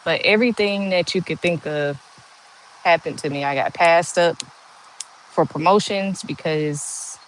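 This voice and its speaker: Woman in her forties with a monotone voice. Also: fairly low pitch, measured pace, slight background noise, low-quality recording. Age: 40s. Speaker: livestream host. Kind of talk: casual live chat answering viewer comments.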